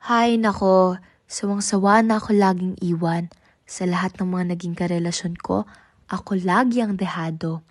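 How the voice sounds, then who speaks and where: A woman's voice: lowish voice, steady tone, normal speed, no echo, studio quality, close to an everyday voice. A woman playing a young woman fed up with being dumped, venting sarcastically.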